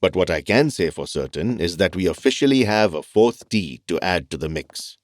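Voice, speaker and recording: Casting: calm mentor doctor, low voice, measured and explanatory, dry sound. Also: male voice, dry recording. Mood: reflective, explaining. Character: thoughtful, reassuring male doctor and family mentor. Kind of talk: audio drama acting.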